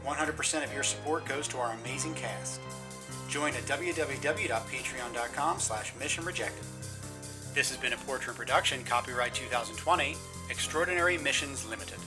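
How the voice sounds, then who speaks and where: Music plays behind a male announcer with a medium-pitched voice. He reads a scripted announcement in the manner of show credits. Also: fairly quick. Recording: clear music bed behind voice.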